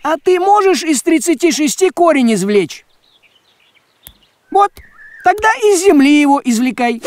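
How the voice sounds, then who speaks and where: High-pitched self-important cartoon tomcat, bossy n thrifty, swingy delivery, music behind. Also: male voice, big pitch swings, a little slow, a little hiss or hum, exaggerated voice. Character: bossy, thrifty male talking farm cat. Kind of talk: cartoon voice acting.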